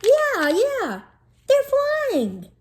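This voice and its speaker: A woman's voice: very high voice, huge pitch swings, extremely slow, pitched above the performer's own voice, full character voice. A woman voicing a cocky, mocking fourth-grade boy in a storybook, taunting and emphatic.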